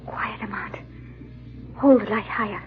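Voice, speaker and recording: An actress playing an anxious, determined, secretive wife in an old-time radio drama, urgent and fretful. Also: female voice, medium pitch, a little slow, over music, lightly acted. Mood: hushed, urgent. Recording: thin, phone-like, noisy vintage radio recording.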